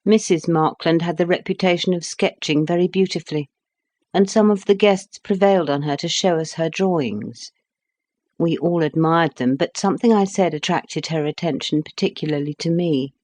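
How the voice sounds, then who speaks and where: A man reading the part of a weary young wife, telling her story in a calm, cold, subdued way in an audiobook. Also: on the high side, normal speed, natural voice. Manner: weary, subdued, evenly told.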